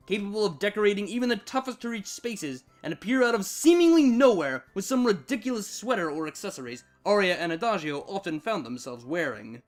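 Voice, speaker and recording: A man narrating a fan fiction story in an expressive, scripted reading style. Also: high voice.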